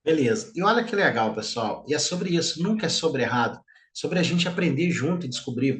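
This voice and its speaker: A man's voice: high voice, fairly bright tone. A middle-aged man teaching a live online class, chatty and informal, often checking in with "tá?". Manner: chatty, informal, peppers speech with "tá?". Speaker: instructor. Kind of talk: live online class, lecturing and questioning students.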